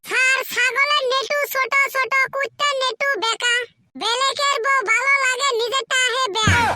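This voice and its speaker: Mischievous little cartoon schoolboy, extremely high piping voice, cocky, noisy recording. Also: fairly even intonation, normal speed. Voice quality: tiny, piping, chipmunk-like voice. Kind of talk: comic cartoon dub. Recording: very noisy homemade cartoon dub.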